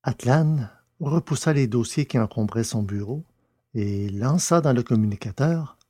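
A man's voice: medium pitch, measured pace, dark tone. A man reading scripted literary science fiction narration as the storyteller. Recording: clearly noisy background with hum.

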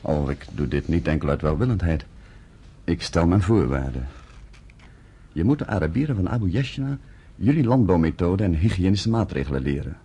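Very low male voice, husky and dark, solemn village headman, earnest, deliberate, radio drama. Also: expressive, heavily acted. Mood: firm, persuading.

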